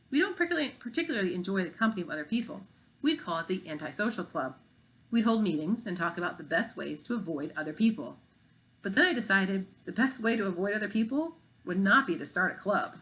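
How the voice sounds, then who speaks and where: A woman's voice: mid-range voice, very animated, fast talker, a bit bright, rough recording. A woman reading as a brilliant, aloof fifth-grade boy, formal and matter-of-fact, for a storybook.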